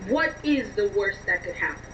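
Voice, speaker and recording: Bright-voiced woman on a dull, distant line. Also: mid-range voice, sing-song, normal speed, a little hiss or hum. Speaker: interview guest, scriptwriter and film blogger. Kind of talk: conversational interview answers.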